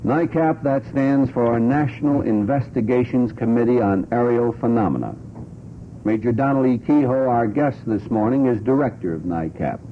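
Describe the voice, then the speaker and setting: A man hosting a radio talk show in a conversational, deliberate way, repeating and spelling out details for listeners. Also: animated. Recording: noisy, tinny vintage radio recording with rumble.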